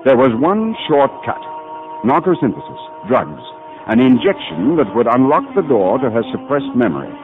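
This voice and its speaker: An actor playing a calm, authoritative male psychiatrist in a 1950s radio drama, speaking in a measured, insistent, deliberate way. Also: medium pitch, narrow, tinny audio, close to an everyday voice. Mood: grave, urgent. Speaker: actor playing a doctor who also narrates. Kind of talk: 1950s radio drama acting, narration and hypnotic questioning. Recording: band-limited 1950 radio broadcast with music.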